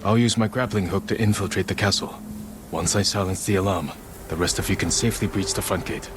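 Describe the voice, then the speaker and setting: An actor playing a determined samurai lord in a video game, earnest, restrained and commanding. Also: male voice, low-pitched, steady tone, fairly quick, noisy background, lightly acted. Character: solemn, determined samurai lord leading warriors. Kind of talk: video game cutscene acting.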